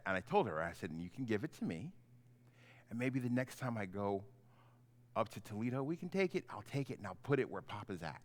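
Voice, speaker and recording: A middle-aged man, a pastor, giving an informal, halting children's message in simple, conversational words. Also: lowish voice. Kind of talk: gentle children's sermon, informal storytelling.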